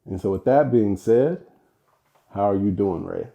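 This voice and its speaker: A man's voice: on the low side, measured pace, warm tone. A man hosting a podcast and interviewing his fiancée, with an upbeat manner. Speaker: podcast host.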